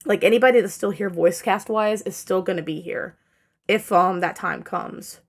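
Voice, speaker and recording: A young woman rambling through a casual channel update, full of fillers and self-corrections, her throat dry and strained and her energy low from fatigue. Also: normal speed. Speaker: channel owner giving an update.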